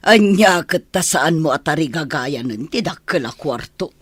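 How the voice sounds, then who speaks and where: A woman playing a gossipy, grumbling older housemaid with a husky voice in an Ilocano radio comedy drama. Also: low voice, lively intonation, normal speed, no echo. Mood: teasing, grumbling.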